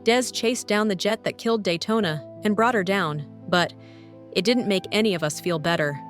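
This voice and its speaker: A woman voicing a grieving, soft-hearted female warplane haunted by war, speaking in a somber, confessional way in an animated drama. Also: mid-range voice, normal speed, faint music behind, natural voice. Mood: grieving, guilty.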